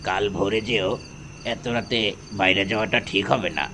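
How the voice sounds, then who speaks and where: A man's voice: on the low side, steady tone, normal speed, natural voice. A man playing a kindly, concerned older village fishmonger in an audio horror story. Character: kindly older village fish-seller uncle, concerned, insistent. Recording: night ambience noise with crickets.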